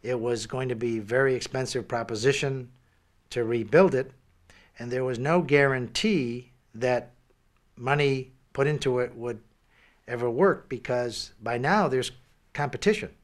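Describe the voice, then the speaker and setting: A man's voice: medium pitch, a little slow. An older man speaking reflectively as an interviewee in a documentary, recorded indoors.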